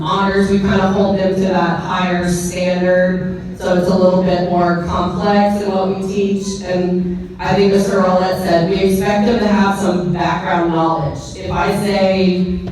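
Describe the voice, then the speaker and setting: A woman presents in a matter-of-fact, explanatory way, with little movement in pitch. Her voice comes from a distance in a very reverberant room with faint ambient noise. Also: a little mellow. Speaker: middle school science teacher. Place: large echoing indoor room with ambient noise. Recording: very echoey hall from far off, faint noise.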